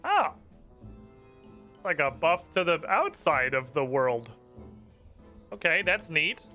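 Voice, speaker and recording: A man's voice: on the high side, very animated, slow pace, bright, forceful tone, soft background music. A man streaming a game, chatting casually, muttering to himself and swearing freely.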